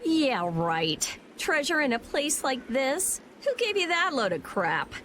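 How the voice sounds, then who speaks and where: A woman playing a prickly, sharp-tongued teenage girl mage in a video game, blunt and scornful. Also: high voice, sing-song, slow, slight background noise, full character voice. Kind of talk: video game dub acting.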